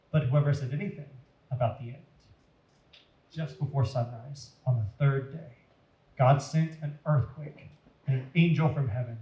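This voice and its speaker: American male children's storyteller, fairly high voice, expressive and simple delivery, noticeably echoey room. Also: lo-fi sound.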